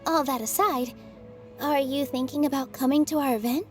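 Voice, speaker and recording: A kind, self-effacing young dancer, voiced by a woman in a video game. Her voice sits high and light, and she speaks softly and politely. Game music runs underneath. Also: fairly even intonation, moderate pace, close to an everyday voice. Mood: gentle. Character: gentle young female dancer, kind and modest. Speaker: game character.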